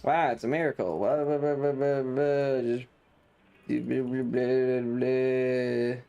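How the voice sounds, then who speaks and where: A man's voice: mid-range voice, level tone, slow pace, creaky, dark tone, clear, resonant tone, lo-fi sound, faint music behind. A man live streaming a video game in a casual, chatty way, sarcastic and exasperated, often reading game text in a dismissive manner.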